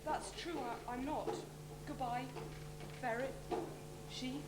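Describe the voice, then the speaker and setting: A woman in an amateur stage pantomime playing a suave, reassuring character with a measured, melodramatic manner. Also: sits high, heavy background noise. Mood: parting. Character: suave, reassuring farewell-bidder called Peter. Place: theatre stage before an audience. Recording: echoey stage recording, loud music.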